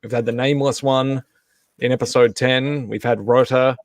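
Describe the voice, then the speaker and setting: A man's voice: mid-range voice, fairly even intonation, moderate pace, no room sound. Man hosting a casual group chat. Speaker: host.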